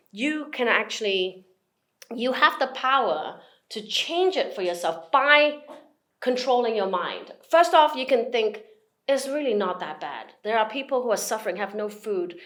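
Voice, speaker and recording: Woman in her forties with an international accent. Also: medium pitch. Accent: mixed British and American. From Hong Kong. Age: 40s. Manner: animated, acts out her own thoughts, frequent restarts and laughs. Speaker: guest. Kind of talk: casual interview conversation.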